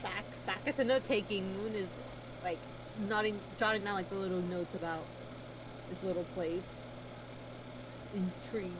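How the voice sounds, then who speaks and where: A woman's voice: mid-range voice, fairly even intonation, moderate pace, pauses often, noisy background. A woman playing a tabletop game, chatting casually and describing what her character does.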